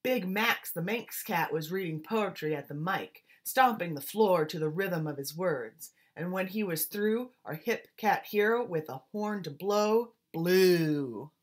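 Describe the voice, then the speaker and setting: A woman teacher reading a picture book aloud in an expressive, rhythmic storytelling style, leaning on the rhymes and beats. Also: fairly low pitch, measured pace, few pauses.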